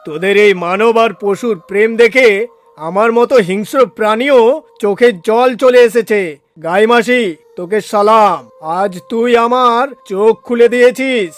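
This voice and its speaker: A man voicing a fierce lion with a soft heart in a cartoon, speaking in a dramatic, declamatory way. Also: high voice, natural voice. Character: fierce male lion softened into a friend.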